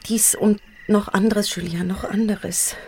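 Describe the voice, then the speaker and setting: An actress playing a troubled, hesitant young woman in an intimate radio play, halting and often dropping to a breathy near-whisper. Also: medium pitch, fairly even intonation, a little mellow, a little hiss or hum, natural voice. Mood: sad, confessional.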